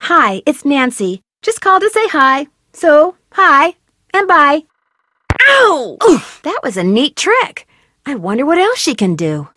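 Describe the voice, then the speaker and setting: A bright, curious teenage girl detective tosses off playful quips as she pokes around a video game. Her fairly high voice leaps up and down with lots of life. The sound is close and dry, with no room around it. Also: very animated, unhurried, no echo, heavily acted. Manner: upbeat, playful quips to herself. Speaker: game protagonist.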